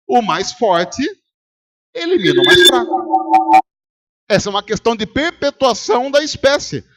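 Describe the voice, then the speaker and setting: A man's voice: very high-pitched, big pitch swings, a little slow, fairly bright tone. A man with a Brazilian Portuguese accent preaching a sermon as pastor, arguing rhetorically and putting questions to his congregation. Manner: rhetorical, quizzes the congregation and acts out arguments. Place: church service.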